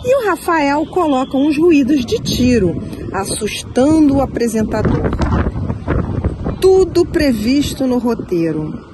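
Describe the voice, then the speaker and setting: Woman explaining behind the scenes stuff, highish voice, noisy background. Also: very animated, slow. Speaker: production team member. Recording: clear traffic-like background noise.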